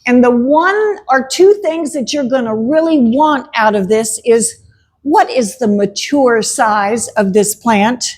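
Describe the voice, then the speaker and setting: A woman presents a gardening talk in a chatty, emphatic way. She speaks slowly and unhurriedly, her voice sitting a little high, and laughs often between points. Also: a little mellow, slight background noise.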